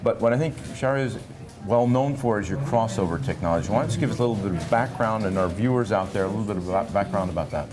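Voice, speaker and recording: A man's voice: fairly low pitch, fast, over music. A man working as an interviewer at a trade show, polite and presenter-like, with a busy crowd around him. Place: audio trade show in Milan.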